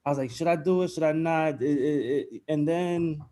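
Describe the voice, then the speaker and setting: A man with a New York accent tells stories animatedly on a casual panel, his voice a touch high. He stumbles and repeats words as he talks. He joins by video call from home. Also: normal speed, warm tone. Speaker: panel guest. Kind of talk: casual chat.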